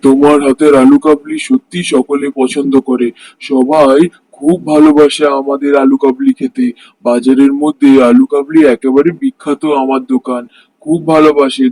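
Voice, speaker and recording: A man voicing a cheerful, greedy married street-food vendor in a cartoon story, with an expressive, exclaiming delivery. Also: mid-range voice, moderate pace, no echo, lightly acted, low-quality recording. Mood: contented. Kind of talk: Bengali cartoon story voice acting.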